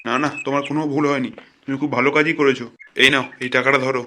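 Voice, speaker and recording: A man playing a sharp, bossy cartoon policeman. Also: medium pitch, fairly even intonation, rapid-fire, slight background noise, lightly acted. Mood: approving, brisk. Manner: bossy, blunt, crude. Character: gruff, threatening, foul-mouthed male police officer. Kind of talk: comic cartoon dialogue.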